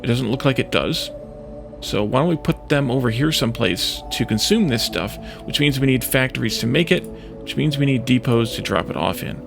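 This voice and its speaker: Man, gravelly voice, casual gaming commentary, musing and hesitant, music playing behind. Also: medium pitch, animated, fairly quick. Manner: hesitant, musing, self-questioning. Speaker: let's play host. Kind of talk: casual gameplay commentary, thinking aloud.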